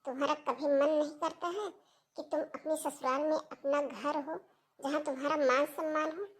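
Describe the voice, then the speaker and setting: A woman voicing a gentle, persuasive motherly sister-in-law in a cartoon family drama, speaking softly, earnestly and reassuringly. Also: sits high, little pitch movement, moderate pace, close to an everyday voice. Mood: gently persuasive.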